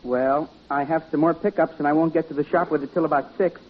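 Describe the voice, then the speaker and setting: A man's voice: fairly high pitch, fairly even intonation, fast, natural voice. An actor playing a polite, earnest pickup man in a 1950s radio comedy, mild and matter-of-fact. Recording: dull noisy 1950s radio recording.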